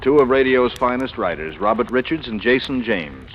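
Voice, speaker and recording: A man's voice: medium pitch, expressive, normal speed, slight background noise. A man hosting a 1940s radio show in a courtly, formal, eloquent manner. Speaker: radio host. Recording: muffled 1940s radio recording.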